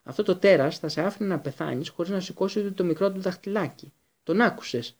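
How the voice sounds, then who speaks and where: Man performing a bitter storybook wizard, growling, raised pitch, husky, accusing. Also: expressive, warm tone. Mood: furious. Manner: accusing, emphatic. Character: furious vengeful adult male wizard, fierce and bitter.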